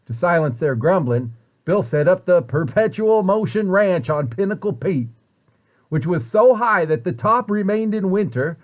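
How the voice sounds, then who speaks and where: A man reads a storybook aloud for children. His voice sits a bit high for a man, and he shapes the sentences expressively and deliberately, like a practiced storyteller. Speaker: site director reading a picture book to children.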